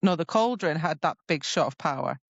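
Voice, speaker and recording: A woman's voice: low voice, brisk, vocal fry, dry recording. Woman chatting casually, slightly dull recording. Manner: animated retelling, mimics characters in mock quotes. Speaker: podcast co-host. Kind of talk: casual book discussion.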